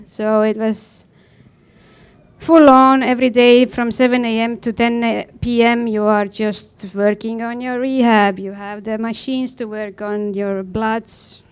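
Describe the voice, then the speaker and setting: Clear-voiced woman with an Estonian accent. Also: fairly high pitch, fairly even intonation, unhurried. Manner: blunt, informal, non-native phrasing. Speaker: panel guest, professional snowboarder. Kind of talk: conversational personal account on a panel.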